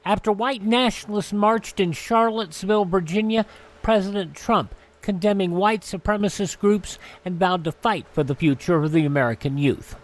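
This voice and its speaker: A man's voice: sits high, moderate pace. A man hosting a radio show, reading through an almanac in an announcer-like way with casual asides. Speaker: radio host.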